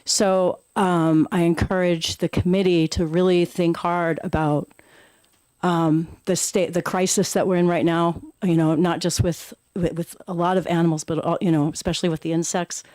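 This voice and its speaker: A woman who works as a nurse, testifying at a committee hearing in a loud, earnest and urgent way. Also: lowish voice, little pitch movement, moderate pace, dry recording. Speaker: nurse giving public testimony. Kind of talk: public testimony. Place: legislative committee hearing room.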